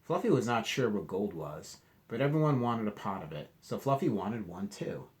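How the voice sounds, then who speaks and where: A man reading a storybook aloud to children like a teacher, expressively, pausing to ask questions and explain. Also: on the low side, very animated, a little mellow. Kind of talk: interactive storybook read-aloud.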